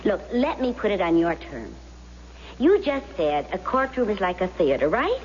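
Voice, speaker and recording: An actress playing a persuasive, affectionate, confident wife in radio drama, coaxing with emphatic theatrical delivery. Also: female voice, mid-range voice, sing-song, normal speed, darkish tone, natural voice. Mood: coaxing, teasing. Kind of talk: 1970s radio drama acting. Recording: dull, noisy, reverberant old radio recording.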